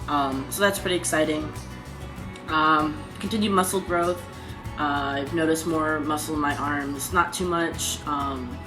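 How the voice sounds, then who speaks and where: A young man talking casually in a video diary, pausing often and filling gaps with "um". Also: fairly high pitch. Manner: halting, frequent ums. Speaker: vlogger. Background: guitar music.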